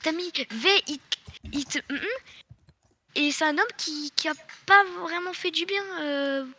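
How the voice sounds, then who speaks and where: High, bright-voiced woman reproving someone. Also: unhurried. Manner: reproving, earnest. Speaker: server moderator. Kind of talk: casual voice-chat discussion. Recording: slightly noisy voice-chat microphone.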